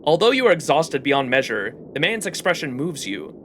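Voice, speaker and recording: Bright, higher-voiced man streaming a game. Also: moderate pace, a little hiss or hum. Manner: expressive, dramatized reading. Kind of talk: reading game text aloud with live commentary.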